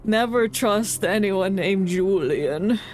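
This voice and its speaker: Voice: mid-range voice, takes it slowly. A video game streamer giving casual live commentary, rambling off into goofy asides. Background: game music.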